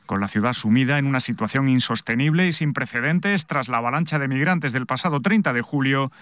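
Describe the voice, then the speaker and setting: Smooth, dry man sports reporter. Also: mid-range voice, fairly quick, dry recording. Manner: steady, formal. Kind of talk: scripted news voice-over.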